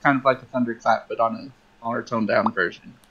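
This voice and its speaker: Medium-pitched man on muffled mic. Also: steady tone, fairly quick, a loud crowd. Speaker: player in a tabletop game. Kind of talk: casual tabletop game chat. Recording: slightly muffled microphone.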